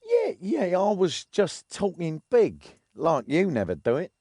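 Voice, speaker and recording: An actor playing a shifty, greedy crook in a video game, scheming in an offhand, cajoling manner. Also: male voice, high-pitched, wildly swooping pitch, measured pace, mellow, no echo, clean studio sound, full character voice. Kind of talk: video game cutscene acting.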